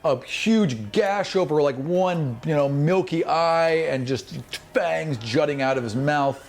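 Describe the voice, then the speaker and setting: Male game master, slightly high-pitched, loose colloquial narration, some noise behind him. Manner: halting, colloquial descriptions with spoken sound effects. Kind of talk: improvised tabletop game narration, casual chat.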